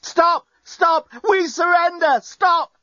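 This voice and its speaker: A man's voice: very high-pitched, fairly even intonation, slow pace, pushed and bright, no echo, lo-fi sound, voice pushed up, full character voice. A man voicing a panicky, breathless male journalist in a comic sci-fi audiobook, stammering and panting. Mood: bellowing panic. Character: frantic, breathless male journalist in a space crisis.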